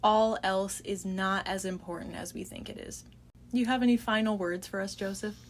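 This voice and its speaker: Woman cohost, middle pitch, earnest and steady, light hiss in the back. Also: moderate pace. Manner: steady, earnest, sums things up. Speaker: podcast co-host. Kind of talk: casual faith chat.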